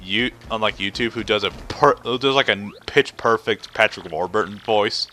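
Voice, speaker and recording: A man's voice: lowish voice, moderate pace, bright, forceful tone, a little hiss or hum. A man hosting a let's play with casual, rambling, goofy commentary over a video game. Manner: rambling, goofy, breaks into put-on voices.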